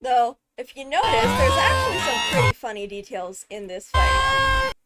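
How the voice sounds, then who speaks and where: A woman giving casual, excited video commentary on a game trailer, chatty and thinking aloud with frequent interjections. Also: sits high, moderate pace. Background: loud music from the video under commentary.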